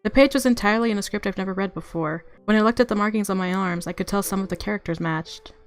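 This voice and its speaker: A woman narrating a visual novel aloud as a game streamer, with expressive reactions and music underneath. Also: mid-range voice, fast.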